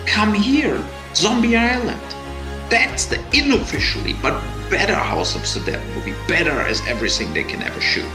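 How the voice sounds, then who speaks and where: A man delivering a brash, profane, ranting promotional pitch. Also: very animated, normal speed. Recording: music under the voice.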